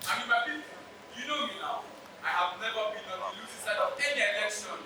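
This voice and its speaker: A performer with a Nigerian accent playing a solemn, self-assured male royal leader in a stage play, declaiming deliberately. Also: mid-range voice, animated, distant mic, natural voice. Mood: triumphant. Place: stage hall, distant mic. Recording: roomy, noisy stage recording from afar.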